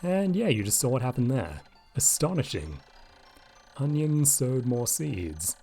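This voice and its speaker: Guy rambling over a game he loves, mellow kinda raspy voice, faint music underneath. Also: mid-range voice, very animated, unhurried. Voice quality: slightly raspy. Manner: rambling, thinking aloud with many asides. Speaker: video game commentator. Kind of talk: casual let's-play commentary. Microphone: desk microphone.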